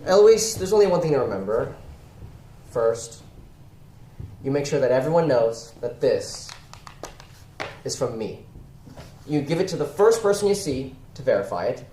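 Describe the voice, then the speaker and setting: Shady, coaxing male crime boss played by a man, stage play, animated delivery, echoey room with noise behind. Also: mid-range voice, moderate pace, pauses often, lightly acted. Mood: guarded, instructing. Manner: coaxing, evasive, rhetorical. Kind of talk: stage acting in a one-act play.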